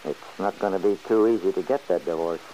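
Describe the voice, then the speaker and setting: A man's voice: sits low, fairly quick, mellow, close to an everyday voice, poor audio. An actor playing a stubborn, good-hearted, folksy man who grumbles plainly in an old-time radio serial. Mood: skeptical. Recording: hissy old radio recording.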